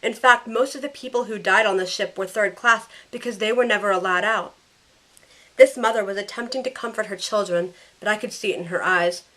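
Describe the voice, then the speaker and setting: A woman acts out a caring young heroine telling her own story in an audio drama. It is scripted first-person narration. Her voice has a medium pitch. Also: brisk, sharp tone, natural voice, poor audio. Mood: anxious narration.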